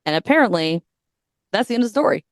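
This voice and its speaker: A woman's voice: mid-range voice, big pitch swings, quick pace, no room sound, studio quality. A woman hosting a podcast, retelling a story in a casual, crude, joking way and often breaking into giggles. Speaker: podcast host.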